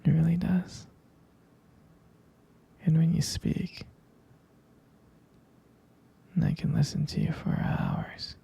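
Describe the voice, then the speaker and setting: Breathy, very creaky whispering man. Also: on the high side, level tone, highs cut off. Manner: tender, adoring, unhurried murmur with soft pauses. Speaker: ASMR roleplay creator. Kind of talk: intimate boyfriend roleplay ASMR.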